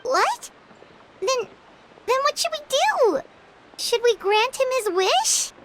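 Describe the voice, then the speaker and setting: A woman voicing an excitable, childlike little floating companion girl in a video game, who blurts out her reactions. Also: extremely high pitch, takes it slowly, a little hiss or hum, full character voice, low-quality recording. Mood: alarmed.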